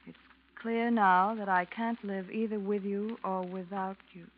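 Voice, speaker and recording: A woman's voice: mid-range voice, fairly even intonation, unhurried, close to an everyday voice. An actress playing a secretive, tense woman caught in a love rivalry, with guarded, theatrical delivery in an old-time radio drama. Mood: anxious, searching. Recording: muffled, noisy old radio recording.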